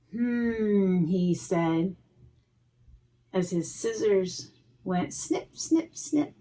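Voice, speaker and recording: Slow-paced woman reading a storybook aloud. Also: mid-range voice, a little hiss or hum. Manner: expressive, pausing to comment on pictures. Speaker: preschool educator reading a storybook. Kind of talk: read-aloud storytime with asides to young children.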